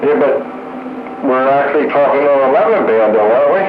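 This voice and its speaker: A man's voice: mid-range voice, animated, a little fast, over a noisy crowd. Man on a tinny, phone-like radio. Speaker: radio hobbyist asking questions. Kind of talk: casual questioning radio chat. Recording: tinny, echoey radio link with music.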